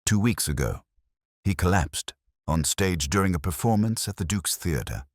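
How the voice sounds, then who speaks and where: Man voicing deep, troubled, deferential clerk. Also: animated, moderate pace, dry recording, studio quality. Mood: anxious, deferential. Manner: hesitant, formal, deferential. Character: troubled, bewildered male costumier's clerk, nervous and deferential.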